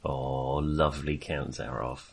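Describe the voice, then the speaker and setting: A man's voice: extremely low pitch, little pitch movement, a little slow, vocal fry, husky. A man chatting casually and enthusiastically about films as a podcast co-host, rambling and hesitating as he goes. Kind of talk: casual, chatty film discussion.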